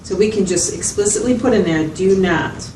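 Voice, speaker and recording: A woman's voice: mid-range voice, normal speed, natural voice. A woman playing a patient mental health facilitator in a role-played client interview, asking checking questions and summing up with hesitant phrasing. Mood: calm, methodical. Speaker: clinician facilitator. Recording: roomy, distant mic, loud background noise.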